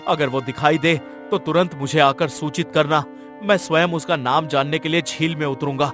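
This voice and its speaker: A man's voice: on the high side, steady tone, normal speed, a bit bright, soft background music, natural voice. A man playing a lovestruck, commanding king in a cartoon story, speaking in formal, stately diction. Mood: earnest, regal. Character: royal king, commanding, lovestruck by a dream woman. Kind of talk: Hindi cartoon story dialogue.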